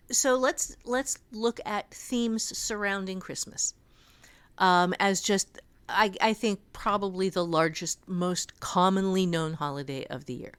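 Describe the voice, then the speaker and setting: A woman co-hosting a podcast in a casual discussion, explaining things in a measured, deliberate way. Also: mid-range voice. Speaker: podcast co-host. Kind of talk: casual discussion of fiction writing.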